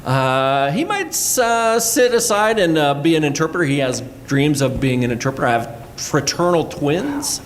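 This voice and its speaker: A man's voice: a little high, big pitch swings, normal speed, hardly any pauses. A man from Puerto Rico speaking on a live panel, conversational and full of fillers. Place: college event room. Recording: echoey room, mic at a distance, background noise.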